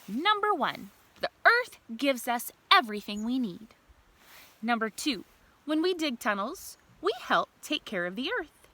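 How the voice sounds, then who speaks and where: A woman's voice: fairly high pitch, very animated, measured pace, sharp tone, exaggerated voice. A woman voicing a young, mischievous earthworm from a storybook, telling his diary with childlike earnestness. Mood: earnest. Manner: earnest, childlike, simple diary-style statements.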